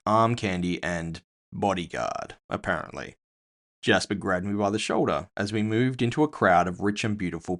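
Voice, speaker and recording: A man's voice: deep voice, creaky. A man narrating scripted first-person fiction with a wry storytelling manner.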